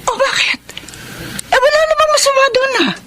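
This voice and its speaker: A woman's voice: very high-pitched, moderate pace, pushed and bright. An actress playing a domineering, money-minded mother who scolds and insists in a Filipino radio drama. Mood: defensive, insistent. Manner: scolding, insistent, sharply emphatic. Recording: noisy radio drama recording.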